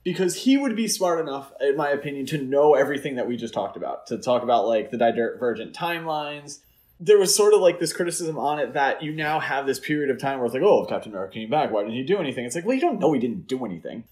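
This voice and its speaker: A man's voice: medium pitch, very animated, fast talker, a little mellow. A man co-hosting a podcast, talking through his opinions in a casual, explanatory, conversational way. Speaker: podcast co-host and article writer. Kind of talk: casual film discussion podcast.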